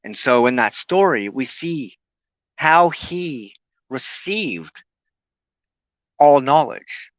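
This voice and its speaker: A man giving an earnest, unscripted spoken teaching as a broadcast host, reflective and halting, often pausing in the middle of a sentence. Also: medium pitch, animated, no room sound, highs cut off.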